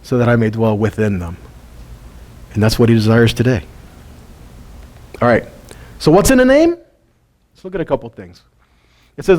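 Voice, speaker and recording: A man's voice: on the high side, a little mellow. A middle-aged man teaches scripture in a folksy way, asking his listeners questions and chuckling often. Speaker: Bible teacher. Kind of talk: casual Bible teaching.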